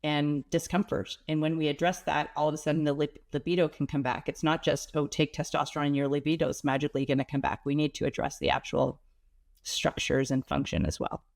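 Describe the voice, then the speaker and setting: A woman's voice: low-pitched, fairly even intonation, a little fast, creaky, darkish tone. A woman with a stuffy nose from a cold, a pelvic health expert speaking as an interview guest. She explains in a practical, plain-spoken way.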